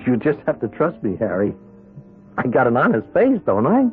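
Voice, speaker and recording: Dull old radio play, lively swooping male voice, young American small-time crook, cocky, pushy, wheedling, slangy. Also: mid-range voice, moderate pace, breathy edge, full character voice. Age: young adult. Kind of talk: radio drama acting. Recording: band-limited radio drama recording with music.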